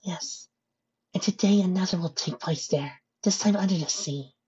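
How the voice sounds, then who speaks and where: A woman voicing a gentle, sorrowful young female pony in a storybook reading, speaking softly and earnestly. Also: lowish voice, husky, lo-fi sound, voice pushed up. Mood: soft, worried. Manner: soft, earnest, measured.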